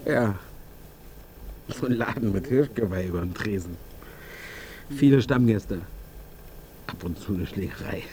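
A man's voice: lowish voice, animated, a little slow, long pauses, a crowd in the background, poor audio. A man playing a chatty local who rambles and digresses colloquially in a naturalistic radio drama. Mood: musing, chatty.

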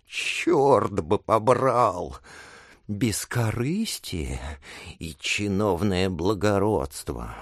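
A man's voice: fairly low pitch, expressive, extremely slow, no room sound, studio quality, exaggerated voice. An actor playing a disgruntled, exasperated male petitioner grumbling about officials in an audio drama. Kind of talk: audio drama acting of classic literature.

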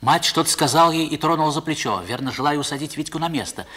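A man's voice: on the high side, quick pace, sharp tone. A man playing a reflective narrator recalling his boyhood in a 1960s radio play. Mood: urgent. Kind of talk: dramatic first-person storytelling in 1960s radio play.

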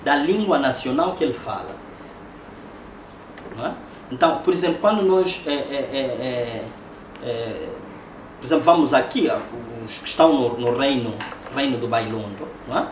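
A man's voice: mid-range voice, normal speed. Angolan man lecturing in echoey room. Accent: Angolan Portuguese. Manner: conversational, rhetorical tag questions. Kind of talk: public lecture. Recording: echoey room, distant mic, noisy.

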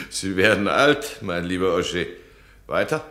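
An actor playing an imperious, hot-tempered duke in a radio drama, commanding and scornful. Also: male voice, sits low, expressive, slow, bright, forceful tone, full character voice. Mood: mocking. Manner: commanding and scornful, swings from mocking to furious. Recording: roomy studio echo.

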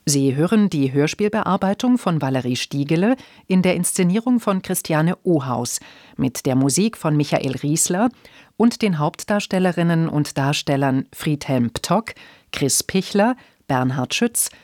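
A woman's voice: sits low, expressive, measured pace, a little mellow, dry recording, studio quality. A woman radio announcer reading a scripted introduction to a literary broadcast. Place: dry radio studio.

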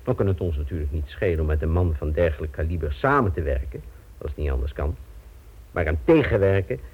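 In a thin old radio drama recording, a formal go-between for a shady client. His voice is deep and swings widely, with deliberate, pointed phrasing. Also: lively intonation, breathy edge, narrow, tinny audio, exaggerated voice. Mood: firm, challenging. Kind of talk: Dutch radio drama acting.

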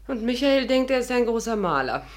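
A woman's voice: a little high, big pitch swings, fairly quick. Poised, witty, resolute woman. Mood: dry, ironic. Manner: poised, dryly ironic. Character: witty, resolute, worldly woman amateur adventurer and narrator. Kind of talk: 1950s German radio play acting and narration.

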